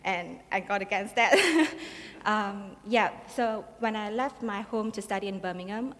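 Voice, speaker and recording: A young woman from Malaysia giving her personal testimony to an audience, talking quickly with many fillers. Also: medium pitch. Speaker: testimony speaker. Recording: long hall reverb.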